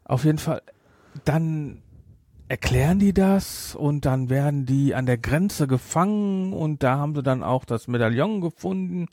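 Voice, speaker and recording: Guy chatting on a podcast, kinda halting and repeating himself, dry close sound. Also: fairly high pitch, animated, a little mellow, dry recording. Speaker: podcast host. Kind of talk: casual chat reviewing a film.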